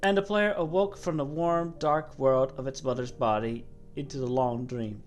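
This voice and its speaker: A woman voicing a solemn godlike storyteller, giving a measured, dramatic reading of game dialogue. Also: a bass voice, faint music behind, pitched below the performer's own voice. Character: low-voiced godlike cosmic being, solemn storyteller.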